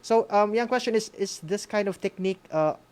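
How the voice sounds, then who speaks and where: A man with a Visayan Filipino accent moderating an educational radio session off the cuff, halting and often tagging phrases with "no?". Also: sits high, darkish tone. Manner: halting, often adds a tag "no?".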